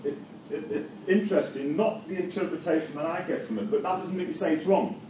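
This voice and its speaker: A man's voice: sits high, fairly quick, darkish tone. A middle-aged man with a Geordie accent from Newcastle gives a university lecture and leads a class discussion, restarting phrases and using fillers often. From Newcastle, England. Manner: hesitant, repeats words, many fillers. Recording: echoey room from far off, faint noise.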